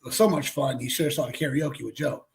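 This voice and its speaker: A young man with a raspy voice, co-hosting a casual sports chat in a hyped, slangy way. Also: medium pitch. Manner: hyped, slangy, quick asides. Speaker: co-host.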